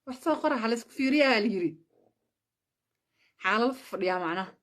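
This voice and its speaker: A woman's voice: a little high, lively intonation, fairly quick. A woman from Somalia, chatting casually on a livestream, switching languages and thanking viewers for gifts. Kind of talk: casual live-stream chat.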